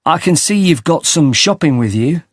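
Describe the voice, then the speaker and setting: A man's voice: on the high side, lively intonation, moderate pace, no room sound, studio quality. A man playing a polite, sympathetic street interviewer asking transport survey questions in a scripted listening-test dialogue. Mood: friendly.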